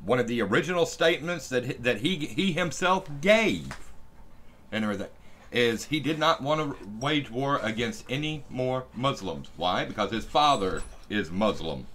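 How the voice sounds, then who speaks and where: A man's voice: mid-range voice, lively intonation, normal speed, fairly bright tone. A man co-hosting a live stream with casual, opinionated commentary. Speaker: co-host. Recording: live stream with clear background noise.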